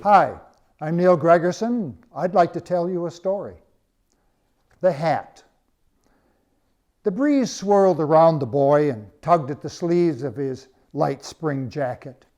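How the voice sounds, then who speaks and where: Male storyteller, slightly high voice, scripted narration, measured dramatic phrasing, deliberate pauses. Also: animated, a little mellow, faint music behind. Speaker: author reading own story.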